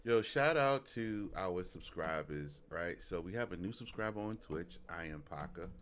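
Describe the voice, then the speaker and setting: A man's voice: low voice, expressive, normal speed, fairly bright tone. A man with a Brooklyn accent hosting a live music review stream, laid-back and loose, leaning on "you know what I'm saying.". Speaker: host. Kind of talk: casual livestream chat.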